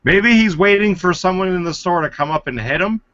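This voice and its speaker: A man's voice: high-pitched, lively intonation, brisk, fairly bright tone, no room sound. A man co-hosting a casual live stream chat, throwing in dry jokey asides. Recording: dry lo-fi online call audio.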